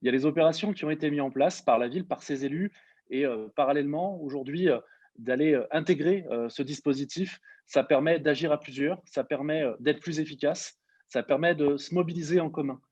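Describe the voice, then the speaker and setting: Halting man, close dry dull sound. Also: on the high side, a little mellow, dry recording. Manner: halting, political phrasing. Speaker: local elected official. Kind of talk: prepared interview statement.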